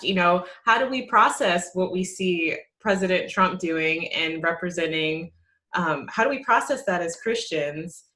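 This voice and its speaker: A young woman hosts a video-call interview. Her voice sits a bit low. She asks open questions and thanks her guests appreciatively. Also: pushed and bright. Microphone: video call webcam.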